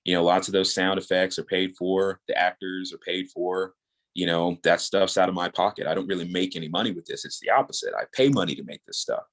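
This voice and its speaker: A man with a notably low, deep voice speaks as a guest in a casual interview. He is earnest and rambling, circling back and repeating words as he thinks aloud. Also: fairly even intonation, brisk, fairly bright tone. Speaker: podcast guest, audio drama creator.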